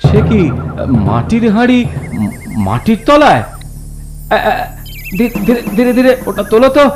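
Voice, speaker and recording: An actor playing an eager, bossy middle-aged village husband in a cartoon, with an excitable way of repeating his phrases. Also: very high voice, unhurried, faint music behind, full character voice. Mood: excited, anxious. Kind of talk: cartoon voice acting.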